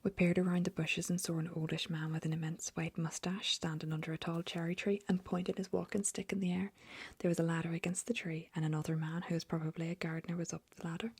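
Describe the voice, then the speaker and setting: A woman reading a storybook aloud in a soft, steady whisper. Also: on the low side, fast, slightly rough, no room sound.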